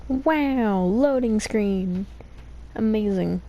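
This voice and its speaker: Slow, creaky-voiced woman gamer. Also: mid-range voice, mellow, muffled recording. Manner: silly, rambling asides. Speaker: gaming streamer. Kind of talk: casual gameplay commentary.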